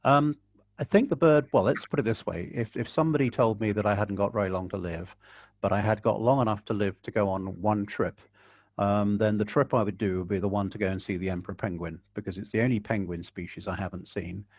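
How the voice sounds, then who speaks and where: A man with a low, soothing voice speaks in a calm, measured way, dry and a little wry. He sounds close and dry on a video call, with no room echo. He is answering questions in a relaxed webinar. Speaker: guest conservationist. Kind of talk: webinar Q&A, giving advice.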